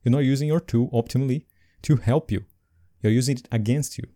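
Male podcast co-host, non-native English, mellow tone, animated delivery. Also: mid-range voice, fairly quick. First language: not English. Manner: animated, laughs between points. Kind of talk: casual podcast chat.

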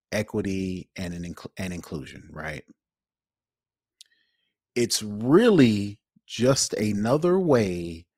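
A man co-hosting a podcast, giving his opinions casually in an emphatic, halting way. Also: fairly low pitch, no echo, studio quality. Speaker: podcast co-host.

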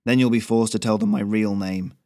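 A man's voice: lowish voice, steady tone, brisk, warm tone, no room sound, close to an everyday voice. A man voicing a grumpy male messenger goose, speaking in a dry, weary, grumbling way.

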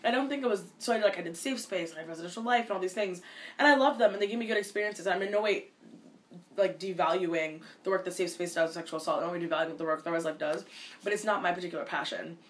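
A woman in her twenties from Minnesota, a college student answering interview questions casually, often restarting her sentences. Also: on the low side. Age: 20s. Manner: fast, with many restarts and filler likes.

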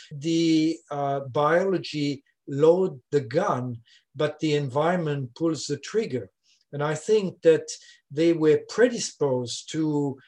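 An older man from Switzerland with a Swiss French accent, a scientist explaining his research in an interview, with many "uh" pauses. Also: fairly high pitch, darkish tone. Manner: explanatory, frequent "uh" fillers. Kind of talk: conversational interview.

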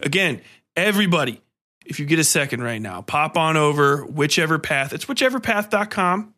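A man hosting a casual interview, animated and self-deprecating, with plenty of swearing. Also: a little high, fairly quick. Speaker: podcast host and author.

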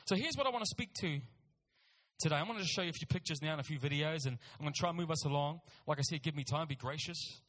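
A man's voice: fairly high pitch, fast talker, a bit bright. A middle-aged man with a New Zealand accent preaching a sermon, telling personal stories with emphatic repeated phrases and informal asides. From Auckland, New Zealand. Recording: reverberant hall.

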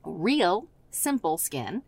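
A woman's voice: fairly low pitch, very animated, drawn-out words, vocal fry, darkish tone, no room sound. A woman co-hosting a talk show, giving casual, candid advice in plain words with a joking streak. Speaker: podcast co-host and skincare adviser.

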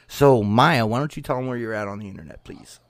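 A man's voice: mid-range voice, fast talker, slight background noise. A man hosts a casual livestream among friends. He steers the intros and jokes crudely with the viewers. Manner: joking, swearing. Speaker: host.

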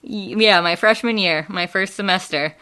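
A woman's voice: mid-range voice, normal speed, sharp tone. A young woman with a Wisconsin accent, chatting casually as a podcast guest and rambling with lots of fillers and laughs. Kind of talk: casual chat.

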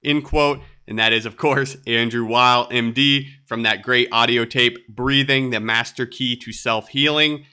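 A man's voice: medium pitch, fairly even intonation, unhurried, few pauses, bright, forceful tone, smooth. A man hosting a solo podcast, sounding nasal and congested, upbeat and chatty with light laughs. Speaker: podcast host.

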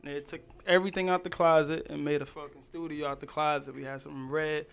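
Laid-back New York rapper, flat tone. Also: male voice, on the high side, fast talker, vocal fry, clear, resonant tone. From Queens, New York. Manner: laid-back, slangy, animated storytelling. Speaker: rapper guest. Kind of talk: casual podcast chat.

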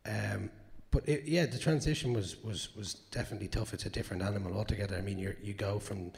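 Irish guy, low raspy hoarse voice, rambly and candid, swears a bit. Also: fast talker, a little mellow. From Ireland. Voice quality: naturally hoarse voice. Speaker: actor guest. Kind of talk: live Q&A answers. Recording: long hall reverb.

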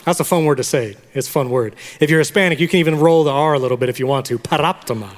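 Pastor of Puerto Rican origin, high-voiced man, preaching and teaching, long hall reverb. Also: rapid-fire. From Puerto Rico. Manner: teaching, has the congregation repeat words. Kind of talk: sermon. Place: church sanctuary.

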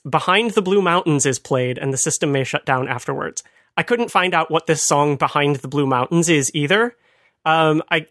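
A man's voice: on the high side, fairly bright tone, dry recording. A man co-hosting a conversational talk, explaining things with dry amusement. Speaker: podcast host. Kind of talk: conversational retro computing commentary.

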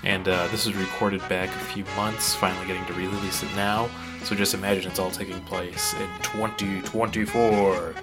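A man's voice: sits low, level tone, moderate pace, over loud music. A man, chatting casually as a podcast host in a jokey, self-deprecating way. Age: late 30s. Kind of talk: casual chat and show intro.

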